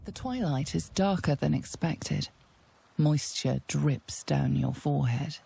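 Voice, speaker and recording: An actress as a solemn, ominous storyteller, narrating a dark fantasy game in a measured, intimate way. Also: female voice, sits low, gravelly, husky, full character voice. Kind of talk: scripted second-person dramatic narration.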